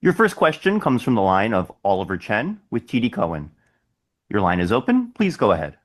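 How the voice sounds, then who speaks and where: A man's voice: fairly low pitch, wildly swooping pitch, fairly quick. A man working as a conference call operator, giving scripted instructions and introductions.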